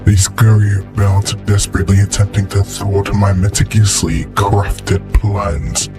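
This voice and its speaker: A man playing a cold, grandiose alien machine intellect out to conquer, speaking pompously and deliberately in an audio drama. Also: on the low side, steady tone, over music, close to an everyday voice. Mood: haughty contempt. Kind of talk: audio drama villain monologue.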